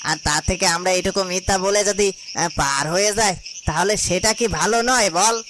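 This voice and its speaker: Guy doing a cowardly cartoon royal guard, high voice, stutters and frets, crickets buzzing behind. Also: a little fast, natural voice. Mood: nervous scheming. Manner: hesitant, stammering. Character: cowardly, scheming royal guard, anxious and nagging. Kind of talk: Bengali fairy-tale cartoon dubbing. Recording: noisy, cricket and insect sounds behind.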